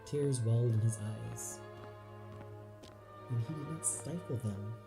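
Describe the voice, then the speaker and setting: A man's voice: medium pitch, takes it slowly, creaky, over music. A man narrating an audio play in a solemn, theatrical, scripted style.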